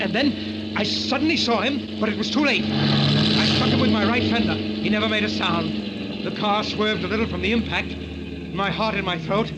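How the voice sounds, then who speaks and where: An actor playing a cold, scheming killer in a 1940s radio thriller, with an intense, smoothly condescending manner. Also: male voice, fairly high pitch. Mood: panicked. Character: cold, scheming man confessing to a killing, sly and needling. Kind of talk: 1940s radio thriller acting, first-person narration and dialogue. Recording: muffled, noisy old radio recording.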